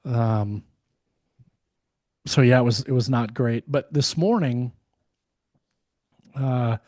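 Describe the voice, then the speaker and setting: A man co-hosting a podcast, hoarse and losing his voice, talking in a casual, rambling way with many fillers and restarts. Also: mid-range voice, takes it slowly, warm tone, dry recording, clean studio sound. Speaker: podcast co-host. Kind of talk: casual chat.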